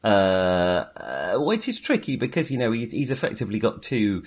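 A man's voice: on the low side, sing-song, normal speed, slightly rough. A man co-hosting a film podcast in casual discussion, hesitantly circling back and qualifying his points. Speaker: film review podcast co-host.

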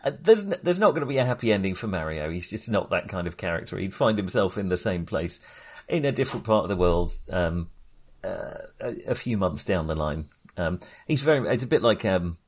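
A man co-hosting a podcast, talking casually about films, with many restarts and hesitations. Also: low voice. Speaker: podcast co-host. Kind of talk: casual film discussion.

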